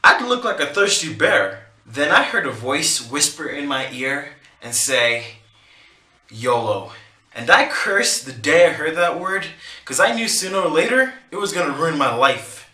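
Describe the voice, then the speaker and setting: A man vlogger telling a comic story to camera in an animated, joking way, laughing between lines. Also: a little high, moderate pace, echoey room.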